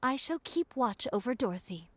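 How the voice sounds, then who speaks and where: A woman's voice: fairly high pitch, very animated, moderate pace, a bit bright, no room sound, studio quality. An actress playing a composed female soldier in a video game, with formal, archaic speech.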